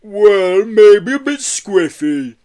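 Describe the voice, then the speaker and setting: A huge, brash lady Yeti from a storybook, acted out by a male reader. She drawls her words slowly and loudly, booming with good humour. The pitch sits above an ordinary man's talk. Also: expressive. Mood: tipsy, protesting.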